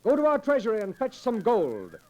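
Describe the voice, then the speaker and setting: A bold, generous outlaw chief in a 1940s radio play. His voice sits high and leaps widely in pitch. He speaks in a hearty, theatrical way with old-fashioned phrasing. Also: wildly swooping pitch, brisk, dark tone, muffled recording, full character voice. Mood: resolute. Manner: theatrical, hearty, archaic speech.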